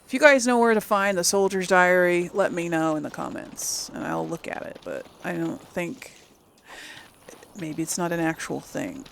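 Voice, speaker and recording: A woman's voice: lowish voice, lively intonation, normal speed, gravelly, fairly bright tone, a little hiss or hum. A woman hosting a let's play, chatting casually to herself and her viewers as she comments on the game.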